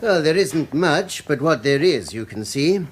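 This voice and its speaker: An actor playing a reserved, formal hospital doctor in a radio drama, measured and precise. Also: male voice, on the high side, animated, slight background noise. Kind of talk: British radio drama acting.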